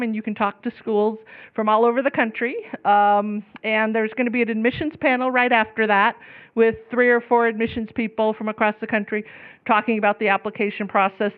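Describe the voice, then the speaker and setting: A woman's voice: fairly high pitch, smooth. A woman pre-law advisor giving a chatty informational talk to students over a microphone. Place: lecture room, on microphone. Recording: phone-like thin room recording.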